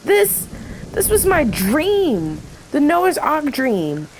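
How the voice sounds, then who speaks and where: A woman's voice: high-pitched, wildly swooping pitch, drawn-out words, a little hiss or hum, heavily acted, rough recording. A woman playing an overworked, devoted diner worker in a radio play, impassioned and hurt, piling up rhetorical questions. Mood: bitter, wistful.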